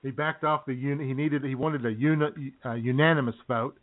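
A man's voice: mid-range voice, fairly even intonation, normal speed, slight background noise. Tinny-sounding wry male radio co-host. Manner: wry, chuckling, repeats phrases. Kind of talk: casual political banter. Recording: tinny, narrow phone-like sound.

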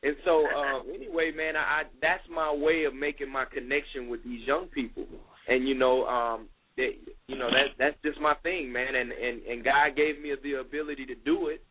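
A man in his thirties, a youth minister guest, talking in a relaxed, conversational way with many fillers on a radio call. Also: mid-range voice, normal speed, a little hiss or hum. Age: 30s. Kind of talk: casual radio interview talk. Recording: phone-line radio call.

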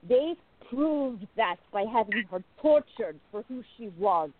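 A woman playing a jealous, besotted young woman confiding in her diary in a radio play, intimate, expressive and musing. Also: a little high, unhurried. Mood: tender, uneasy. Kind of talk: dramatic first-person diary narration in a radio play. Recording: narrow phone-like lo-fi sound.

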